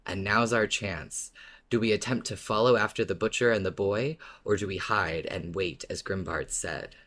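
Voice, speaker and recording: A man's voice: on the low side, moderate pace, a bit bright. A man co-hosting a fan podcast, chatting and recapping with playful, wry enthusiasm. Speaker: podcast co-host.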